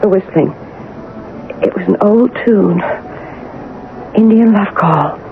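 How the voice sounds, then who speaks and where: A woman's voice: medium pitch, takes it slowly, natural voice. On a narrow, faintly hissy old radio recording, an actress plays an uneasy, dreamy woman in a seventies radio drama. Her delivery is wondering and hesitant yet intense, as if drawn toward the unseen. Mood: uneasy, haunted. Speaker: actress playing a supporting lead. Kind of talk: 1970s radio drama acting.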